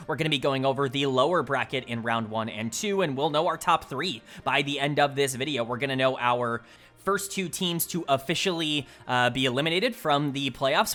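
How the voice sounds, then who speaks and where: Male esports analyst, bright edge, a touch raspy from casting, talks straight to camera, fluent and opinionated. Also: medium pitch. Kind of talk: solo analysis commentary.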